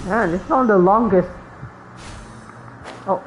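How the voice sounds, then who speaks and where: A woman with an average-pitched voice comments casually on her game as she plays. Her remarks are offhand and informal, with faint game noise behind her. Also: unhurried, poor audio. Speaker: game streamer.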